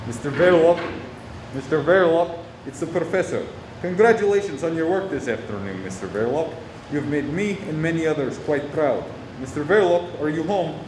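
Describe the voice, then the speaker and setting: A man's voice: a little high, expressive, normal speed, lightly acted. A man playing a mocking, menacing old professor in a radio drama. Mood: oily, mocking. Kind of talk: radio play acting. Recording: echoey, clearly noisy room.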